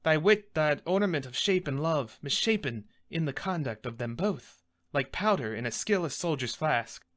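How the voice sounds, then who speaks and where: A man's voice: fairly high pitch, lively intonation, normal speed, a bit bright. A man performing a Shakespearean monologue as a stern, exasperated friar, scolding in a declamatory, emphatic way.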